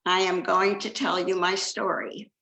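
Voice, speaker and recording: An older woman, born in China, gives a measured, scripted talk as a second generation Holocaust speaker. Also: on the low side, flat delivery, gravelly, pushed and bright. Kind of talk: prepared talk with slides. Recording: echoey room.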